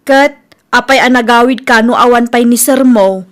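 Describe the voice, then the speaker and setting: A woman's voice: fairly high pitch, moderate pace, lightly acted. A woman with a Filipino accent playing a suspicious, neglected wife in a radio comedy drama. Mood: suspicious, commanding.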